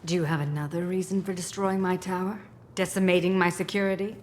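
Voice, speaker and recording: A haughty woman crime boss from a sci-fi game, played by an actress, speaking in a lowish voice over a rumbling background noise. She is wary and bitter, with clipped, sardonic phrasing. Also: little pitch movement, quick pace, darkish tone, natural voice. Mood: tense bargaining. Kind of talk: video game voice acting.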